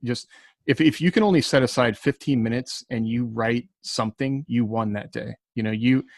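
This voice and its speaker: A man with a medium-pitched voice speaks as a writer on a podcast panel. He sounds earnest and encouraging in loose, conversational advice, recorded close and dry. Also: normal speed, dry recording. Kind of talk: casual panel talk giving writing advice.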